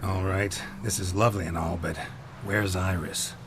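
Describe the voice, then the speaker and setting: An actor playing a stoic, weary monster hunter in a video game, terse and dryly sardonic. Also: male voice, low voice, steady tone, moderate pace, background noise. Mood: dry, skeptical.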